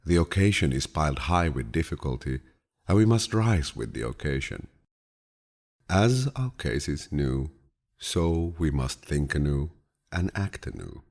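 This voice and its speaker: A man with a deep, low voice reads quotations as an audiobook in a calm, measured, grandfatherly way. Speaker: audiobook narrator. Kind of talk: scripted audiobook reading of quotations.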